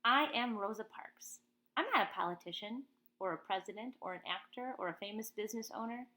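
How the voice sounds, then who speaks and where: A woman voicing a calm, resolute woman activist who tells her life story in a picture book, earnest and emphatic. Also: mid-range voice, fairly quick, natural voice. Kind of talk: first-person picture-book narration.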